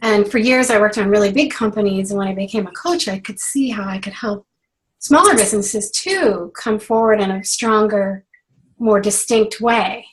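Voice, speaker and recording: A middle-aged woman with a hoarse voice from a cold, hosting a casual branding call and chatting with many fillers and restarts. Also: medium pitch, normal speed, rough recording. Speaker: branding coach hosting a clinic.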